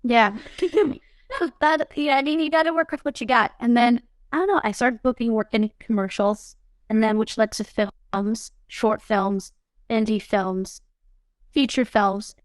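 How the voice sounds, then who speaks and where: Young woman, actress guest, chatty and animated, fairly high voice, dry close sound. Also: measured pace, no echo. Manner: chatty, animated, frequent fillers like "you know what I mean". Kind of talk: casual interview talk. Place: studio podcast set.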